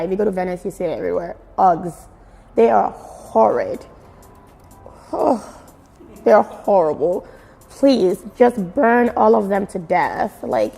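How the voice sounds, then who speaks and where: A woman from Nigeria with a Nigerian accent mixed with British and American, giving expressive, emphatic answers in a casual interview. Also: mid-range voice, gravelly. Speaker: fashion blogger being interviewed. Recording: very echoey room with music. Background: music.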